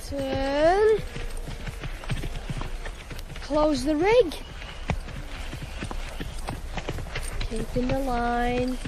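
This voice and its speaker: High-voiced, stubborn young ploughboy. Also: male voice, full character voice. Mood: concentrated. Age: young adult. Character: stubborn young ploughboy, devoted to his horses. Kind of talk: 1980s radio drama acting. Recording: noisy old radio broadcast.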